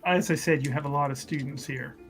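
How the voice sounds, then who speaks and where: A man's voice: fairly high pitch, brisk, soft background music, natural voice. A man voicing a slippery, deferential student in a tabletop game, polite, hesitant and placating.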